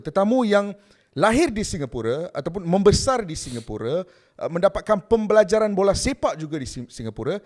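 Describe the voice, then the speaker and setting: A man's voice: on the high side, darkish tone. A man with a Malaysian accent hosting a casual sit-down interview in a chatty, encouraging way. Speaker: podcast host.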